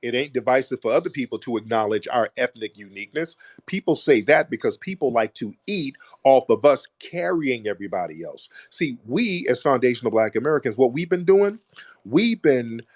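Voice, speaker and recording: A man hosting a heated call-in debate, lecturing forcefully and repeating his points for emphasis. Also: medium pitch, lively intonation, normal speed, no room sound, clean studio sound. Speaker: host.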